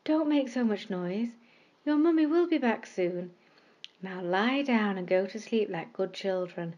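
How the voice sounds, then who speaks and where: A woman voicing a kind mother cat in a children's story, soft, hushing and comforting. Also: medium pitch, animated, unhurried, dull audio. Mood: soothing.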